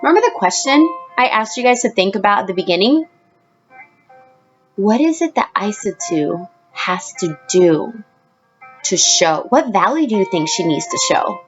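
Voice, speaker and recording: A woman teacher reading a picture book aloud expressively, pausing to prompt young listeners with questions. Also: medium pitch, lively intonation, dull audio, soft background music. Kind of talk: storybook read-aloud with questions to children.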